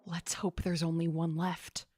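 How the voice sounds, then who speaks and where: A woman's voice: lowish voice, flat delivery, unhurried, darkish tone, no room sound, studio quality. An actress playing a sarcastic, gutsy young woman fighting to survive in a horror audio drama. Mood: sarcastic, tense.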